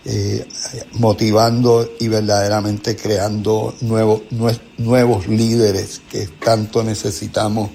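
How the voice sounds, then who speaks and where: A man's voice: lowish voice, little pitch movement, takes it slowly, creaky, dark tone, slight background noise. A man with a Puerto Rican accent hosting a conversational interview, introducing his guest and agreeing often. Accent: Puerto Rican Spanish. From Puerto Rico. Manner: affirming, frequent backchannels. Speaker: host.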